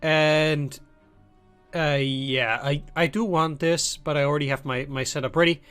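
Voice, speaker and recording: A man's voice: on the high side, steady tone, unhurried, a bit bright, clear, resonant tone, soft background music. Man with a cold, easygoing game commentary. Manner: loose, thinking aloud as he plays. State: has a cold. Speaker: let's play host. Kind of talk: casual gameplay commentary.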